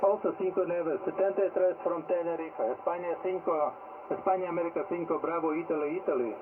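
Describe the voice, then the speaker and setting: Male ham operator, higher register, thin narrow-band radio signal, callsign exchanges. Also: fairly even intonation, quick pace. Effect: heard over shortwave radio. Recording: tinny narrow shortwave signal, clear static noise.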